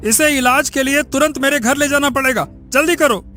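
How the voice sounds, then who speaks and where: A man's voice: very high voice, steady tone, moderate pace, pushed and bright, faint music behind. A man playing a flustered village healer who gives urgent, repetitive orders in a moral story. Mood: alarmed.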